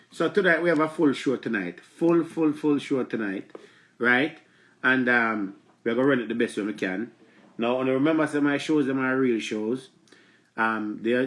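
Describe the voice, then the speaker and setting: Stuffy Jamaican man hosting a live stream. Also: medium pitch. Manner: chatty, repetitive, animated when addressing viewers. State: stuffy from allergies. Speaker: live show host. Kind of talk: casual live-stream talk. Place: small room indoors. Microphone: phone camera close up.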